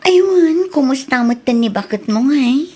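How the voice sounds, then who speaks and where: A coy, chatty village woman, played by a woman in an audio drama. Her voice is fairly high with a husky, breathy edge, and she talks in a teasing, flirty way. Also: animated, unhurried, darkish tone, full character voice.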